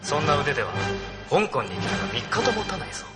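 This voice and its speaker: A man's voice: fairly high pitch, sing-song, fast, big-room sound, loud music behind the voice. A man playing a mocking enemy pilot in an anime audio drama. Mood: taunting. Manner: taunting. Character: mocking male enemy pilot over the radio.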